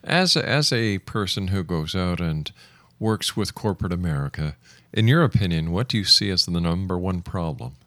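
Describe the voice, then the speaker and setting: A man's voice: deep voice, expressive, normal speed, a bit bright, no echo. A man hosting a radio show, asking questions in a conversational interview style.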